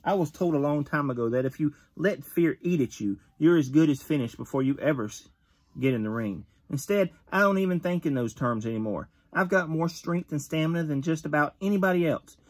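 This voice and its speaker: A man in his thirties reading a wrestling magazine interview aloud at home, gruff and tough-talking, with the odd stumble, recorded on a phone camera at close range. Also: fairly high pitch, expressive, fairly quick. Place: bedroom with shelves of collectibles.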